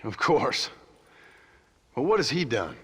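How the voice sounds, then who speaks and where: An actor playing a rugged, sardonic cowboy gunslinger in a video game. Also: male voice, mid-range voice, huge pitch swings, slow pace, a bit bright, breathy edge, exaggerated voice. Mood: wry, calm. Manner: dry, sardonic. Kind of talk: video game cutscene acting.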